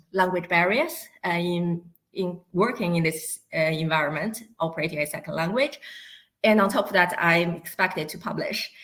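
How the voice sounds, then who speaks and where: A woman speaker with a Mandarin Chinese accent and a slightly low voice. She presents in an academic register, explaining points as she goes. Her phrasing is non-native and somewhat halting. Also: fairly bright tone. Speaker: guest speaker, university academic. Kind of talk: academic presentation, semi-spontaneous.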